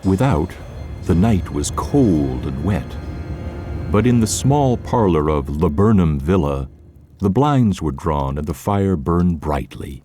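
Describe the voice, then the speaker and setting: A man's voice: low-pitched, sing-song, slow pace, dark tone, soft background music. A man giving a scripted, literary narration of a classic story in a radio drama.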